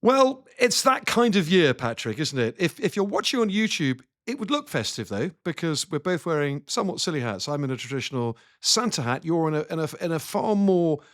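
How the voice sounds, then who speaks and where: A man hosting a podcast as a journalist, lively and teasing in casual chat. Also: fairly high pitch, a little fast, few pauses, no echo, studio quality.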